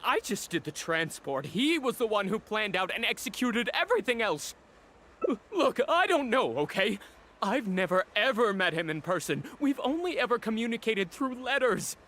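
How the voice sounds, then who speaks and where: High jumpy cowardly smuggler, stammery and pleading, swoopy voice, music behind. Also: male voice, sing-song, moderate pace, bright, forceful tone, full character voice. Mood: panicky. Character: cowardly stammering male smuggler caught by guards. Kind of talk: game voice acting.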